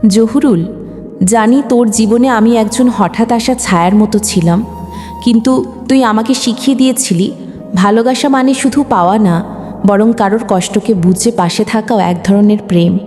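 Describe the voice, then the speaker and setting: A woman's voice: on the high side, moderate pace, dark tone, close to an everyday voice. Woman playing a resigned, tender young admirer in a love story; long echo, musical backing. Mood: wistful. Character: wistful, selfless young person in unrequited love. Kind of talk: storybook dialogue. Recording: long reverb, music underneath.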